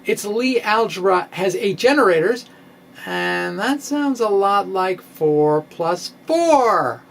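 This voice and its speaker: A middle-aged man reading his science blog dramatically, in a quirky, halting way with drawn-out pauses. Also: sits high. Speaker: physics video blogger. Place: small indoor room with bookshelves. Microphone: microphone on a stand in front of him. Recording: steady clear background noise.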